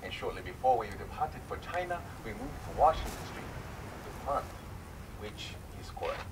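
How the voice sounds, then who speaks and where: A defensive immigrant merchant husband played by a man, mid-pitched with lively swings of emphasis. He testifies formally, as in a staged period drama, heard from a distance through clear noise. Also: normal speed, lightly acted. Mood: formal, careful. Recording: distant microphone in noisy live room.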